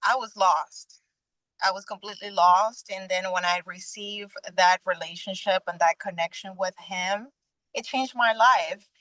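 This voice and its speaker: Woman from Venezuela, earnest and halting, slow pace, dry close sound. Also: medium pitch, steady tone, pushed and bright, no room sound, low-quality recording. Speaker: guest. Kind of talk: personal testimony interview.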